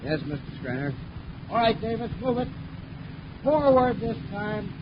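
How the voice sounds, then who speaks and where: A loyal henchman of a 1950s radio villain. His pitch swings around at a middle height. The old recording is dull and noisy. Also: sing-song, measured pace. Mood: barking orders. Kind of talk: 1950s radio adventure serial acting.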